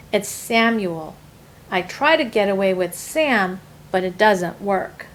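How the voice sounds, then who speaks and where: Woman reading a children's story, some background noise. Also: medium pitch. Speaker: storyteller reading a picture book aloud.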